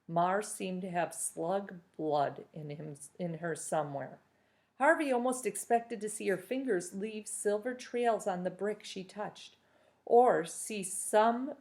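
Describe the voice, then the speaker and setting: A woman reading a novel aloud chapter by chapter, with steady storytelling. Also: mid-range voice.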